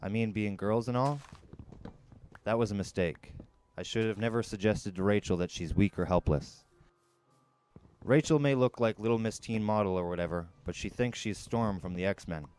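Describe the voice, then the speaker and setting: A man with a fairly low voice narrates a first-person story in a dramatic reading style. The amateur recording carries clear background noise. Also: moderate pace, a little mellow. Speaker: audiobook narrator.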